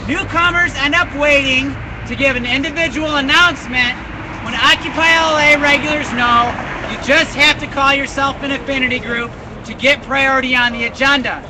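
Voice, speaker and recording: Man presenter, loud and methodical, outdoor meeting, street noise behind. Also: extremely high pitch. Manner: loud, deliberate, reads each point then its reason. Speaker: proposal presenter at a general assembly. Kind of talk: reading prepared points aloud at an outdoor meeting. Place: outdoor public assembly with crowd. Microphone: phone livestream. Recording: crowd and street noise on a phone stream.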